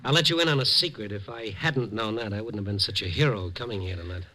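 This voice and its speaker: An actor playing a wry, unruffled newspaper reporter who also narrates a 1950s radio drama, brisk and plain-spoken. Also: male voice, lowish voice, sharp tone. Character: wry, steady male newspaper reporter of nighttime city. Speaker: lead character and narrator.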